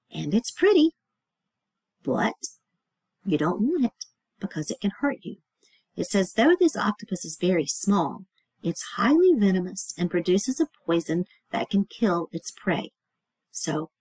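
Lady reading a kids lesson out loud, really lively pitch, bit husky, dry close recording. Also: unhurried, dry recording. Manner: expressive, child-directed, asks checking questions. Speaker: teacher reading aloud to young children. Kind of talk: read-aloud lesson with simple asides.

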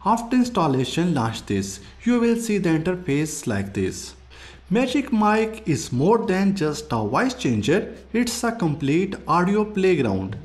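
A man hosting a tech review, reading scripted tutorial narration. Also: high-pitched.

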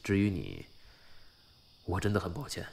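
A man's voice: low-pitched, big pitch swings, normal speed, vocal fry, full character voice. A man acting a brooding, guilt-ridden boss in an audio drama, speaking in restrained, terse phrases. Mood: subdued, earnest.

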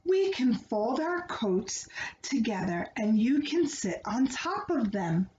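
Woman reading as a gentle mother, unhurried. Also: a little high, sing-song. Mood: reassuring. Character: gentle, practical mother soothing her daughter. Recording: roomy, dull home recording with background noise.

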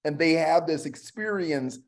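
A man's voice: fairly high pitch, expressive, unhurried. A middle-aged man explaining casually on a video call, searching for words and often checking that he is followed. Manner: searching, checks in with "right?" and "you know". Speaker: actor, panel guest. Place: indoor room on a video call.

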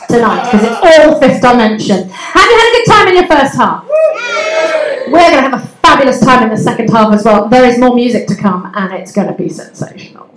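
High, bubbly English woman MC on a handheld mic, echoey hall with noise behind. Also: lively intonation, brisk. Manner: bubbly, chatty, teasing the crowd. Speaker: MC of a comedy night. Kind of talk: live emcee banter. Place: community centre hall, live audience.

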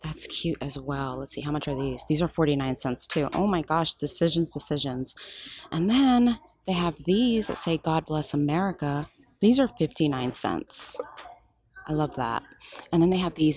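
A woman filming a shop-with-me vlog in a craft store aisle on a handheld phone, excited and gushing, often dropping to a whisper. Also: sits low, normal speed, slight background noise. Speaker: shopping vlogger.

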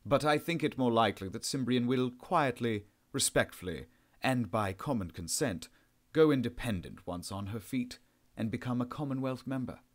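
A man's voice: mid-range voice, animated, normal speed, lightly acted. A man voicing an amiable, pompous late middle-aged governor with a posh Southern English accent in an audiobook. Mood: measured, assured.

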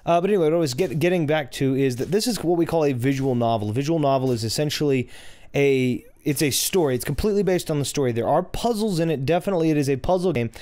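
A man with a low voice hosting a casual game playthrough, chatty and rambling, correcting himself as he goes. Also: fast, darkish tone, dry recording. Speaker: gaming channel host. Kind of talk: casual let's-play commentary.

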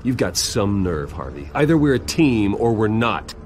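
An actor playing a commanding masked vigilante in a video game, firm and blunt. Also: male voice, on the low side, big pitch swings, normal speed, darkish tone, a little hiss or hum. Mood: angry.

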